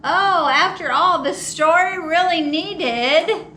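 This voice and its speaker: A woman reading a children's picture book aloud as a storytime host, expressive and theatrical with drawn-out words. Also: very high voice, a little hiss or hum, poor audio. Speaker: storytime host at a public library.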